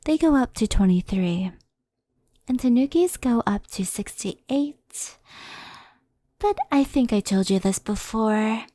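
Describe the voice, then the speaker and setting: A woman playing a gentle, wistful fox spirit in an ASMR roleplay, speaking softly and often in a whisper, close to the microphone. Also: a little high, animated, dry recording. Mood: calm, explaining. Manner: soft-spoken, often whispery, unhurried explaining. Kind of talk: ASMR roleplay, soft storytelling. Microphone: close headphone ASMR microphone.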